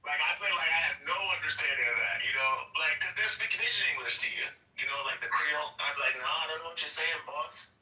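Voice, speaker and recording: A laid-back man tells stories in casual chat and keeps repeating his point. His voice is fairly high and very bright, and it is recorded off the mic in an echoing room. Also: a little fast, far from the mic. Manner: laid-back, repeats himself, laughs between lines. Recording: roomy echo, off the mic.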